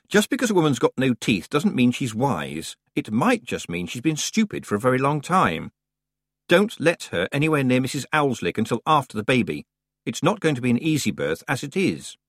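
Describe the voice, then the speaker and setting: A reader voicing a sensible, sharp-tongued young girl witch, level and reproachful. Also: mid-range voice, sing-song, moderate pace, dry recording, studio quality, pitched above the performer's own voice. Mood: matter-of-fact.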